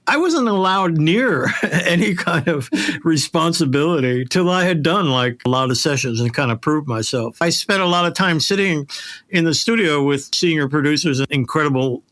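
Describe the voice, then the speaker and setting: Guy, middle aged, slightly high voice, casual emphatic producer shop talk. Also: lively intonation, normal speed, fairly bright tone.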